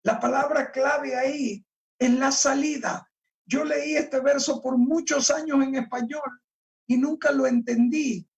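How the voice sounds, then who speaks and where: A male pastor preaching a sermon, reading scripture aloud and explaining it with deliberate emphasis on key words. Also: very high voice, steady tone, distant mic. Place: church.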